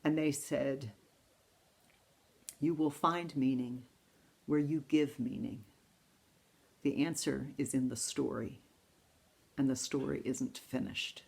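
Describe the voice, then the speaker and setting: A woman pastor giving a reflective sermon, reading poetry in a slow, intimate way. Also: extremely low pitch, fairly even intonation, gravelly, a little mellow, breathy edge. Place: church service, streamed online.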